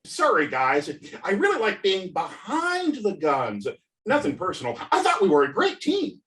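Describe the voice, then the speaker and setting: A man's voice: high-pitched, wildly swooping pitch, normal speed, exaggerated voice. A man playing a melodramatic, two-faced office turncoat in a comedy play read over a video call, with dramatic delivery. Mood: smug. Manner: dramatic, swooping from shouts to murmurs. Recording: distant mic on video call.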